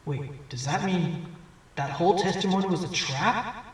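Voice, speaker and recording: A man's voice: sits high, moderate pace, slightly rough. A man playing a wry, earnest fox defense attorney in an audio drama, with a dramatic courtroom delivery. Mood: startled, wry. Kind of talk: fan audio drama acting.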